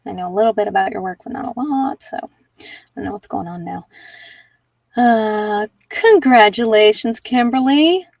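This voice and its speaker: A woman's voice: medium pitch, lively intonation, normal speed, creaky, darkish tone, dry recording, far from the mic. A woman chatting casually and candidly with live viewers as a livestream host, in an informal, self-deprecating, rambling way. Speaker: livestream host giving card readings.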